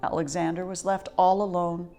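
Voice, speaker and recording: A woman reading a children's picture book aloud as an expressive, dramatic storyteller. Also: on the low side, normal speed, soft background music. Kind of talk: expressive scripted story narration.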